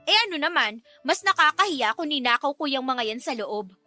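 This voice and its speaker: Snappy young woman, proud, indignant, sharp high voice, radio drama, faint music. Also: lively intonation, a little fast, dry recording. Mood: angry. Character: proud, easily annoyed, defensive young woman.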